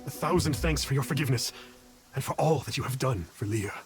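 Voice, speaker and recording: A man's voice: medium pitch, brisk, music in the background, natural voice. A man playing a pompous fraud who poses as an immortal in a video game, speaking in grandiose, theatrical, archaic phrases. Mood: reverent, earnest. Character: pompous fraud male folklore fan posing as an immortal.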